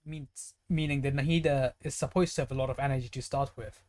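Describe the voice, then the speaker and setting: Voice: medium pitch, steady tone, fairly quick, a little mellow. A game streamer giving casual live commentary, thinking aloud and speculating in a musing way. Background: game music.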